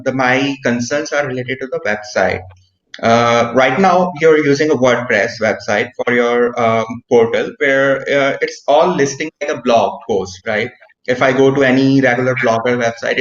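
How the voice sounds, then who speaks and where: A man giving feedback in an online meeting, hesitant, with many fillers and frequent "right?". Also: medium pitch. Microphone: video call. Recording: roomy, dull video call audio.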